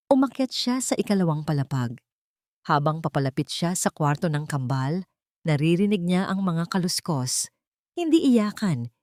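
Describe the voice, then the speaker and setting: A woman narrating a scripted audiobook story in a deliberate, dramatic way. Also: fairly low pitch, darkish tone, dry recording, studio quality.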